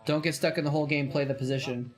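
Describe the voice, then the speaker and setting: A slightly high-voiced man hosting a live stream in a loose, rambling way. He chats to viewers between moments of the game, gets worked up when the action turns, and laughs easily. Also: steady tone, quick pace, faint music behind. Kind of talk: casual live-stream commentary and chat.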